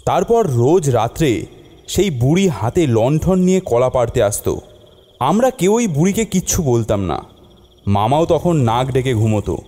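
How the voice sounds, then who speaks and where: A man's voice: medium pitch, sing-song, normal speed, dark tone, natural voice. A man voicing an earnest young nephew in a cartoon, telling things expressively. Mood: narrating calmly. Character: worried young nephew who narrates the tale. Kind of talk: cartoon voice acting.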